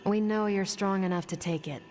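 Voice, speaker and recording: An actress playing a cool-headed heroine in a video game cutscene, speaking in a dry, sardonic way. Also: female voice, mid-range voice, brisk, darkish tone, faint music behind, close to an everyday voice. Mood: confident.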